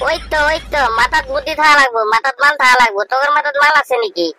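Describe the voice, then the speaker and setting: A man voicing a young village man who teases his friend in crude, rough banter, speaking. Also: extremely high pitch, very fast, a little hiss or hum, full character voice. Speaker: voice actor. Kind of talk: comedic cartoon dialogue. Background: loud music.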